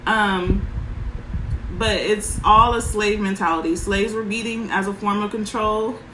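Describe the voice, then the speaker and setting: A woman parenting coach with a soft voice, giving frank advice in short, emphatic sentences. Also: medium pitch, steady tone, a little slow, roomy sound, a little hiss or hum, poor audio. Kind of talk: casual advice talk.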